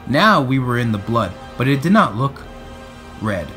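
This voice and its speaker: Animated man reading a children's storybook. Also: medium pitch, normal speed, soft background music. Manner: expressive, playful. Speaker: storybook reader. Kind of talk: animated read-aloud narration for children.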